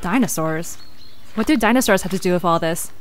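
A woman's voice: on the low side, expressive, brisk, slight background noise, lightly acted. A woman acting a cautious, sarcastic young girl in an audio drama, casual and exasperated. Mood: wary, sarcastic.

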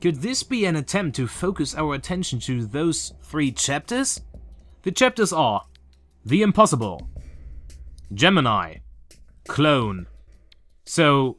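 A young man in his twenties with a German accent, earnestly talking through a game theory from his bedroom, with a few filler pauses. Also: a little high, a bit bright. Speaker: YouTuber. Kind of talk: game theory video commentary. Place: small bedroom with shelves, indoors.

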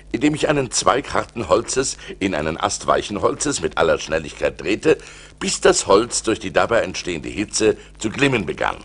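An actor playing an adventurous seafarer who recounts his perils in dramatic, intense audio play narration, swinging from hushed to urgent. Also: on the low side, slightly rough, in a large hall, exaggerated voice. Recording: noisy vinyl record transfer.

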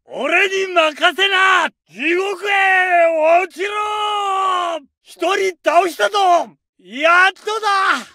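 A hot-tempered young soldier in a war game who snarls and shouts at his enemies. His voice is very high and sharply bright, and it sounds bone dry with no room around it. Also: drawn-out words, no room sound, heavily acted. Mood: taunting. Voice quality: shouted, taunting. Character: aggressive young male Japanese soldier, snarling. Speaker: soldier voice lines. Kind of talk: barked game voice lines.

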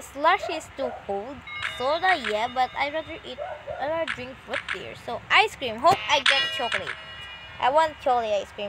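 A woman reading a visual novel aloud as a gamer, expressively, with excited asides. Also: sits high, poor audio. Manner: expressive, dramatized reading with exclamations. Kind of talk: let's play narration with excited asides. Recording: loud music behind the voice. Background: loud game music.

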